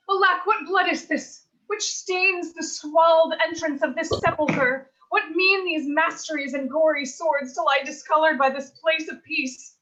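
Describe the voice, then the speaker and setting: A woman's voice: extremely high pitch, pushed and bright, muffled recording, exaggerated voice. A woman playing a frightened, brave young babysitter as a Shakespearean heroine in a declamatory, anguished staged reading. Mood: horrified. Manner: declamatory, anguished, archaic verse.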